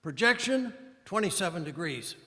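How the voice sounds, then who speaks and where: A man acts out an army artillery officer in a radio drama. His voice is high and piercingly forceful, snapping out clipped commands. It rings through a reverberant hall on a live stage. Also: big pitch swings, normal speed, a little hiss or hum, exaggerated voice. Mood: urgent, commanding. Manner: clipped, barked military commands. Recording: echoey church hall, live performance.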